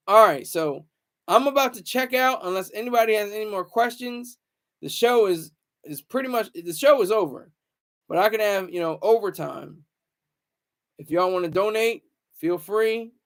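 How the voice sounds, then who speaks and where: A man with a distinctly high-pitched voice hosts a casual live chat. He rambles along, answering viewers and restating his phrases over and over to drive them home. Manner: rambling, repeats phrases for emphasis. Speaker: livestream host.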